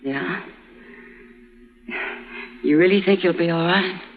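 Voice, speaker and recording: A woman's voice: fairly low pitch, slow. An actress playing a weary, wistful frontier woman in a 1950s radio drama, speaking wryly. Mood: concerned. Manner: wistful, wry. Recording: muffled old radio broadcast with hiss.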